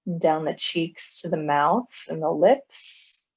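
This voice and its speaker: A woman guides a body scan meditation in a low, soothing voice. Her pace is very slow, with long pauses between short phrases. The recording is somewhat dull and muffled. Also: animated, a little mellow, breathy edge. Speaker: meditation teacher.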